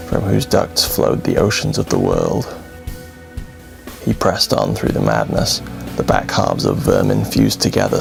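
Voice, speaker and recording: Extremely deep, gravelly male storyteller. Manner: grave, dramatic, deliberate delivery. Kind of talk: scripted horror bedtime story narration. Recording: music under the voice.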